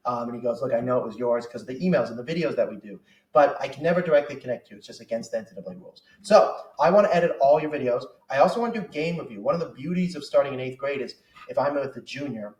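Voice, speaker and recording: A young man who works as a college soccer recruiting advisor gives a casual, persuasive sales pitch, talking in a chatty way with lots of fillers. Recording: echoey room, distant mic.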